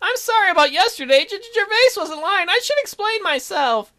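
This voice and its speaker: A man's voice: very high voice, sing-song, fairly quick, pushed and bright, pitched above the performer's own voice, heavily acted. A man voicing a timid, devoted servant who stammers and pleads in distress. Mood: timid, confused.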